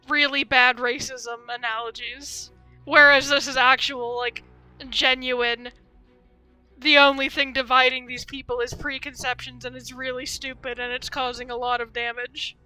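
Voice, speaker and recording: A young woman gives casual live game commentary in a high, very bright voice. She rambles chattily through asides, recorded dry and close with faint music behind. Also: little pitch movement, unhurried, smooth, dry recording, rough recording. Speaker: game streamer.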